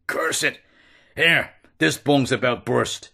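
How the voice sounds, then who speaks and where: A man's voice: mid-range voice, takes it slowly, creaky, pushed and bright, pitched above the performer's own voice, full character voice. A man voicing a brutal, blustering male brothel keeper who talks in a coarse, bullying, gloating way.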